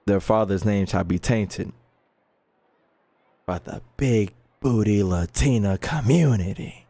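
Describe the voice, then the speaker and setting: A man's voice: fairly low pitch, slow, warm tone. A man doing casual gaming commentary, reading dialogue aloud and joking in a slangy way. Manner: slangy, jokey. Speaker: gaming YouTuber.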